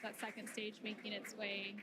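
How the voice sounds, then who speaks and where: Brief: female launch commentator, even and level delivery, medium pitch, clear background noise. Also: normal speed. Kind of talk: live spaceflight commentary. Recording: noisy live broadcast.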